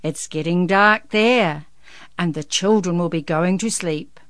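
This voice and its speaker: A woman's voice: unhurried, fairly bright tone, no echo. A woman voicing a jolly, kindly old Santa Claus with a big, hearty voice in a children's story. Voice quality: big, booming.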